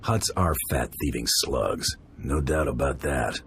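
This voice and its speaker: A man's voice: very deep voice, big pitch swings, a little slow, a bit bright, a little hiss or hum, exaggerated voice. An actor playing a tough male bounty hunter who talks in a blunt, defiant way.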